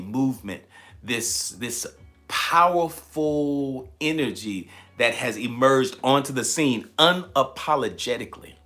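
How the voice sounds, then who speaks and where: A man with a bright, forceful voice that carries a slight tired rasp. He talks like a motivational livestream host, landing on key phrases and repeating them for emphasis. His pitch sits in an average male range. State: slightly raspy, tired voice from shouting the night before. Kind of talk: motivational livestream talk, preaching-like.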